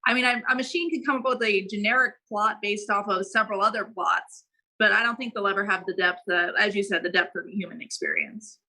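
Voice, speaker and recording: A woman's voice: mid-range voice, fast, pushed and bright, poor audio. A woman co-hosting a podcast, inquisitive and conversational as she interviews. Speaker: podcast co-host.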